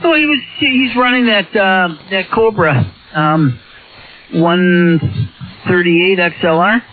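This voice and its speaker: A man's voice: high voice, slow pace, phone-quality sound. A plainspoken man, chatting casually over CB radio. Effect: radio transmission. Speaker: CB radio operator. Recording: thin, band-limited CB radio signal.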